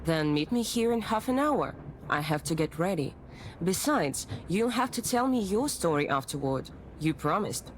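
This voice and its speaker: A woman's voice: on the low side, animated, moderate pace, slight background noise, natural voice, rough recording. An actress playing a self-assured, mysterious female fortune-teller who coaxes and teases in a visual novel. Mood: teasing. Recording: voice over prominent background music.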